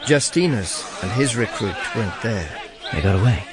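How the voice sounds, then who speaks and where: A man's voice: on the low side, animated, normal speed, a crowd in the background, natural voice. An actor playing a wry, world-weary Roman imperial agent who narrates his own misadventures in a dry, sardonic, understated way for a radio drama. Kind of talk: first-person radio drama narration and dialogue.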